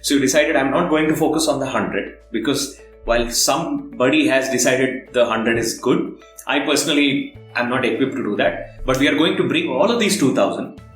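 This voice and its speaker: A male podcast interviewer talks in a conversational, halting way, working out his thought as he goes. His voice sits at a medium pitch. It rings in an echoey room with faint music behind it. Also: a bit bright. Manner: halting, thinking aloud, rephrasing at length. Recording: roomy echo, faint background music.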